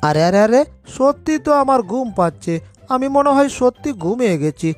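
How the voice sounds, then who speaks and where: Picture a panicky cartoon tomcat played by a woman, pitched high and easily frightened. It mutters and exclaims to itself as if forever put upon, with faint music behind it. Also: lively intonation, fairly quick, darkish tone. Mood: drowsy, puzzled. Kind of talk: cartoon fan dub.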